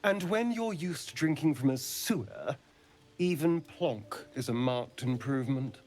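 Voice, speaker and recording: A sardonic male vampire from a fantasy game. He is theatrical and power-hungry, lingering over words and swooping widely in pitch. Soft music plays behind him. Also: lively intonation. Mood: wry, brooding. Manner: theatrical, teasing, drawn-out delivery. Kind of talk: dramatic video game acting.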